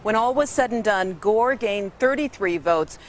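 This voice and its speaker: A woman's voice: mid-range voice, expressive, unhurried. Female TV reporter, medium register, explanatory live-report delivery, light background noise. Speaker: TV news reporter. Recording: faint ambient background noise.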